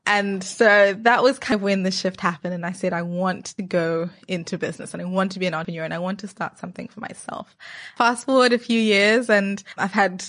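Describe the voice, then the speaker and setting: A woman's voice: mid-range voice, fairly even intonation, brisk, fairly bright tone. Enthusiastic young woman executive. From Zimbabwe. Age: young adult. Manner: articulate, enthusiastic. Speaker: business executive guest. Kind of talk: interview answers.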